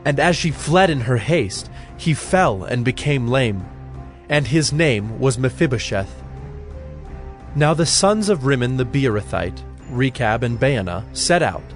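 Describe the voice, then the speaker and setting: A man narrating dramatized scripture from a script in a measured, formal manner. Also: mid-range voice. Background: music.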